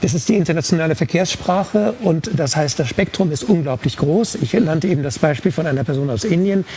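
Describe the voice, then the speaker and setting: Man, somewhat high voice, reflective explainer, self-correcting, unscripted panel talk, light background noise. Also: fairly quick, mellow. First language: German. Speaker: volunteer interpreter on a discussion panel.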